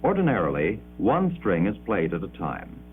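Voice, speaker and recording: A man delivering formal, measured 1950s educational film narration with precise enunciation, on an old muffled soundtrack. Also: deep voice, huge pitch swings, music in the background.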